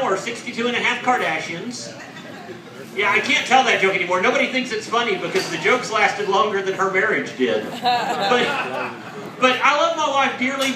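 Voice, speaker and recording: A man in his forties performing a stand-up comedy set on a club stage, with deadpan, self-mocking punchlines. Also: extremely high pitch, distant mic, noisy background. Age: 40s. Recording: echoey club, audience laughter.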